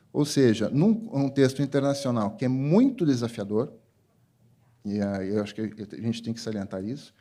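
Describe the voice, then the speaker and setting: A man giving a measured panel talk, pausing and restarting now and then. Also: mid-range voice, mellow.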